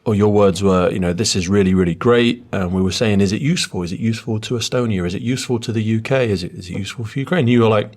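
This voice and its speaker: A man speaking as a podcast host in an earnest, measured way, moving between scripted introduction and interview talk. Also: fairly low pitch, darkish tone.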